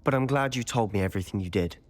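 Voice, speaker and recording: Man plays intent schoolboy wizard with secrets, lowish voice, confiding, game cutscene in a reverberant hall. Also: fast talker, lightly acted. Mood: exasperated, hopeful. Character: brooding, persuasive teenage wizard schoolboy guarding secrets. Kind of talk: video game cutscene acting.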